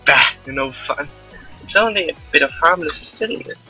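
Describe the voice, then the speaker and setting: A mischievous, carefree young village girl, played by a man, teasing in a visual novel. The voice is very bright and forceful, with light game music behind it. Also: fairly high pitch, expressive, moderate pace, breathy edge, full character voice, poor audio. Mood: wistful. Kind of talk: live visual novel read-aloud.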